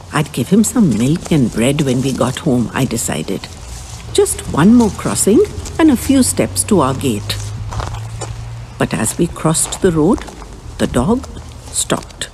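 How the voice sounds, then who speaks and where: A woman narrating a scripted first-person story in a gentle, reminiscing way. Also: deep voice, in a large hall, a little hiss or hum, rough recording.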